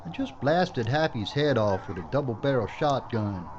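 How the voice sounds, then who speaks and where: An actor playing a gruff, wisecracking male frontier lawman in a Western audio drama, with a dry, drawling delivery. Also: medium pitch, expressive, moderate pace, natural voice. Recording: muffled lo-fi, loud hiss.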